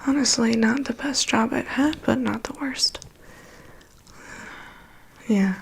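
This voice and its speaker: A woman playing a calm, prying vampire's assistant in an ASMR roleplay, murmuring dry asides and reassurance in a breathy whisper. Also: on the high side, takes it slowly, exaggerated voice. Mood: dry, nonchalant. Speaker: ASMR performer. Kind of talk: ASMR roleplay, personal attention.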